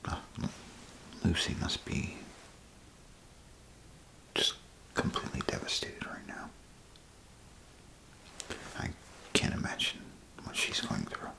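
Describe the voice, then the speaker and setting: A man performing a whispered ASMR role play as an attentive, apologetic doctor, murmuring in a hesitant, unhurried way. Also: bright, forceful tone, rough recording. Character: apologetic, attentive male vault doctor tending battered patients.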